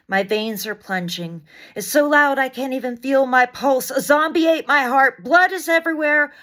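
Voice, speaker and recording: Slow, deliberate woman reading poetry. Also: mid-range voice, a bit bright. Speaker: poet reading poems aloud. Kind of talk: dramatic spoken-word poetry reading.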